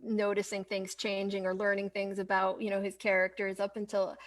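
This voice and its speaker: A woman hosting a casual interview, speaking informally in short, halting, agreeable phrases. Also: medium pitch, completely flat delivery. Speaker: interview host.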